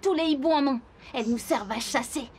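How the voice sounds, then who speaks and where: A woman's voice: high voice, fast talker, a little mellow, a little hiss or hum. A woman voicing a proud, teasing male eagle owl in a children's cartoon, explaining animal facts simply and kindly.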